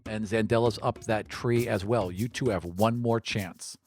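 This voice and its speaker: A man's voice: fairly low pitch, fairly even intonation, measured pace, a little mellow, soft background music. A man narrating a tabletop roleplaying game as its game master and show host.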